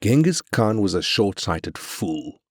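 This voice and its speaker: Deep-voiced, brash South African chairman. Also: sing-song, slow, darkish tone, no room sound, studio quality, heavily acted. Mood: scornful. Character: brash, profit-hungry male corporate chairman. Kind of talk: scripted game character voice lines.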